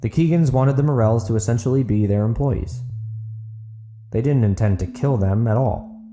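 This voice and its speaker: A man's voice: medium pitch, moderate pace, background noise, natural voice. Man voicing an earnest, trusting small-town mayor. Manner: earnest, declarative, self-assured. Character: trusting, insistent small-town male mayor, smitten with gang leader.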